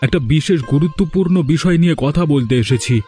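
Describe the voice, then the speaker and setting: A man's voice: a little high, fast talker, mellow, lightly acted. A man voicing a puzzled village fish farmer in a cartoon, earnest and exclaiming. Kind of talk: dubbed cartoon dialogue. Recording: music in the background.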